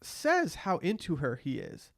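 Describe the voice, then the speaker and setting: A man's voice: a little high, wildly swooping pitch, unhurried, creaky, a bit bright. A thirty-year-old man co-hosting a podcast, chatting casually about a reality TV show. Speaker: podcast co-host. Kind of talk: casual chat recapping reality TV.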